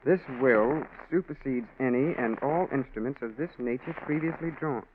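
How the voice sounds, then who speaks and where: A man's voice: mid-range voice, normal speed, lightly acted. An American man playing a wary, challenging family confidant in a 1940s radio drama, recorded with old-time hiss and tinny sound. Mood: solemn, surprised.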